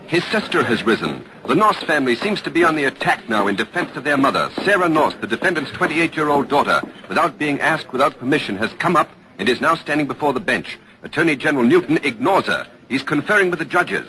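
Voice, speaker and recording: A man's voice: fairly high pitch, sing-song, fast. An actor playing an urgent male reporter giving live commentary on a trial in an old radio drama. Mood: observant, tense. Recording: noisy old radio broadcast recording.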